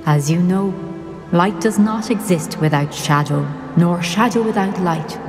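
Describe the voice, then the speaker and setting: Low, echoing wise witch. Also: over music. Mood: solemn, wise. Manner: measured, philosophical. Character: calm, wise witch in enchanted portrait. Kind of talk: cinematic video game acting. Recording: echoey reverberant hall.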